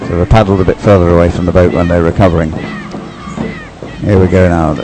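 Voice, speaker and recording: A man's voice: sits low, flat delivery, brisk, music in the background. A man giving unscripted live race commentary in a rambling, hesitant way, often thinking aloud. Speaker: sports commentator.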